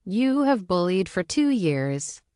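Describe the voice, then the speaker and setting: A woman's voice: mid-range voice, very animated, takes it slowly, warm tone, clean tone, dry recording, studio quality. A woman voicing a firm, fed-up target of bullying in a dramatic reading of text messages, curt and indignant.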